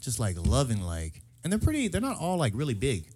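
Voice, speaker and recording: A man's voice: mid-range voice, huge pitch swings, fairly quick, a little mellow. A young man hosting a casual podcast, laid-back, laughing often and swearing casually. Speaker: podcast host. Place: small studio bar set.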